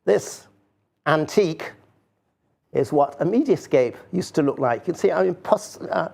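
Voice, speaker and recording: An older man giving an informal, unscripted talk as an artist, halting and rambling, with a self-deprecating manner. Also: on the high side, lively intonation, creaky, darkish tone.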